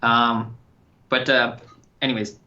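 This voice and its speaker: Bright-voiced man in casual chat. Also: mid-range voice, little pitch movement, slow pace, lo-fi sound. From Thailand. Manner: rambling, many fillers and restarts. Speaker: podcast co-host.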